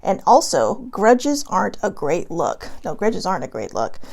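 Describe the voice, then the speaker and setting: A bubbly woman raised in Hawaii talks casually from home, giggling and rambling. Her pitch swings up and down a lot, and a gravelly fry runs under her words. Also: wildly swooping pitch, normal speed, darkish tone. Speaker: vlogger doing a card reading. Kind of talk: casual livestream chat.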